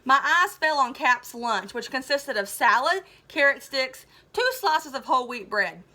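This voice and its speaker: A woman teacher reading a story aloud to students, expressive and chatty, with enthusiastic casual commentary. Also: high voice, measured pace.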